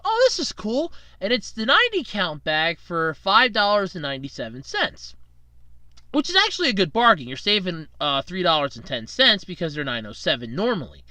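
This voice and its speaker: Lively chatty podcast host, bright voice. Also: male voice, high-pitched, no echo. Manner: rambling, chatty, self-deprecating, jokey. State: fighting a cold, tired from night shifts. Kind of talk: casual solo podcast monologue.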